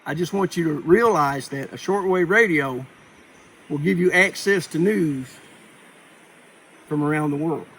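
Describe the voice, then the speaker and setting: A man's voice: a little high, a bit bright, slight background noise, rough recording. A man giving an informal, plain-spoken, rambling preparedness talk to viewers, in a folksy way. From Appalachia, United States. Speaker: preparedness vlogger.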